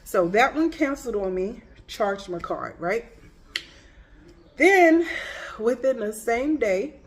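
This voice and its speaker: A woman with a congested voice from a cold talks to her camera in a relaxed, unhurried way. She tells her story with a chatty, slangy and exasperated tone. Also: fairly high pitch. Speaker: travel vlogger. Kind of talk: casual storytelling vlog.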